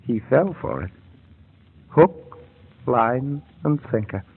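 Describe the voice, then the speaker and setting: An actor playing a scheming scientist who explains things in a measured, formal way, in 1930s radio style. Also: male voice, mid-range voice, big pitch swings, vocal fry, loud music behind the voice, full character voice. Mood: gloating. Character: smooth scheming scientist posing as earnest inventor. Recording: old muffled radio broadcast.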